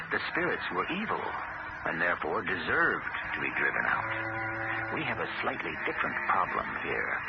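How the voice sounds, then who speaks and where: Male host, deep, gravelly, lively pitch, heavy hiss, muffled old radio. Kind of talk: scripted host commentary.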